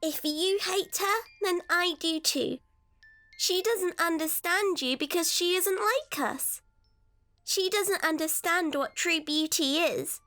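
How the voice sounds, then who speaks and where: A woman's voice: very high-pitched, bright, forceful tone, no room sound, exaggerated voice. A woman voicing a manipulative, coaxing little-girl doll in a horror radio drama. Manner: coaxing, insinuating.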